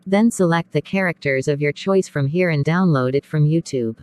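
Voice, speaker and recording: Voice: medium pitch, normal speed, darkish tone, no room sound. Dry, close-sounding tutorial narrator.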